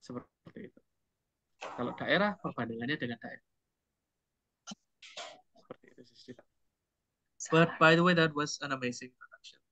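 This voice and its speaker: A man's voice: a little high, brisk, long pauses, pushed and bright, highs cut off, slight background noise. A man with an Indonesian accent, tutoring an online English speaking class and giving upbeat, encouraging feedback. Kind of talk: online group speaking practice.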